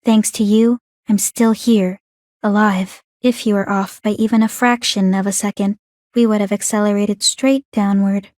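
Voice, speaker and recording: Woman voicing a mellow, composed, curious young woman. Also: medium pitch, fairly even intonation, dry recording, studio quality, close to an everyday voice. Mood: composed, appreciative. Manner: composed, measured, formal, inquisitive. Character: calm, clinically curious young woman, polite and coolly teasing. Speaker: video game voice actor. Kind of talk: scripted video game character voice lines.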